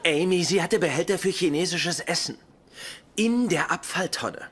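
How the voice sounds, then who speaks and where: A man's voice: fairly high pitch, normal speed, noisy background, close to an everyday voice. An actor playing a pedantic, easily offended young man in a dubbed sitcom, with precise, pompous, formal wording. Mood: suspicious, earnest.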